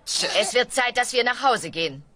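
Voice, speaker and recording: A woman playing a sensible, determined dinosaur mother, brisk and organising, in a comic audio drama. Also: a little high, animated, noisy background, lightly acted. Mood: indignant.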